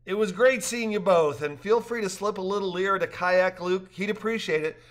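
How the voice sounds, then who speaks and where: A man's voice: high voice, normal speed, voice pushed up, close to an everyday voice. A man voicing a friendly, wry woman in a story reading.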